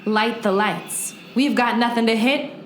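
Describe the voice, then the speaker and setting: Woman playing a bold, higher-voiced heroine. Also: fairly even intonation, unhurried, noisy background, lightly acted. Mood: commanding. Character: bold, commanding female game master heroine. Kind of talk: audio drama acting. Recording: roomy echo, music underneath.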